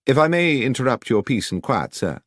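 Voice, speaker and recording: A commanding gentleman detective performed by a man from a mystery storybook, courteous yet brisk. His formal, precise speech rises and falls with great animation in a dry, close recording. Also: medium pitch, very animated, no room sound, voice pushed up. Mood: courteous, probing.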